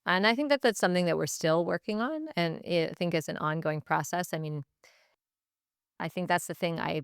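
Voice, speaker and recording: A woman with a low voice that stays clear and resonant. She talks reflectively, like an educator being interviewed, working through her thoughts in repeated, restarted phrases. The sound is close and dry. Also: moderate pace, no echo. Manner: thoughtful, stop-and-start phrasing with repetition. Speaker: teacher and language department head, podcast guest.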